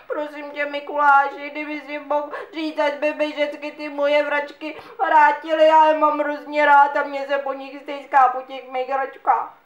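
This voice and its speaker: A woman's voice: high voice, completely flat delivery, hardly any pauses, muffled recording, natural voice. A woman voicing a naughty little boy who whines and pleads with the other characters. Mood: whiny. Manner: whiny, pleading. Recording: echoey room.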